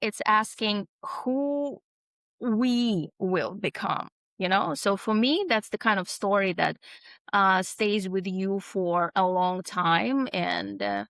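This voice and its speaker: A middle-aged woman from Russia, speaking at an unhurried, deliberate pace. She answers reflectively and leans on fillers and tag questions. The recording is dry and close. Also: medium pitch, gravelly, a bit bright, no room sound. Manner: thoughtful, frequent "um" and "right?", tag questions. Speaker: author guest. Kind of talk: conversational interview answer.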